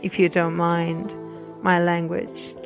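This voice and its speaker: A woman's voice: lowish voice, completely flat delivery, slow pace, vocal fry. A woman whose native language is Portuguese, hosting a podcast and reading book recommendations aloud in a hesitant way, with fillers and restarts. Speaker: podcast host. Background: soft music.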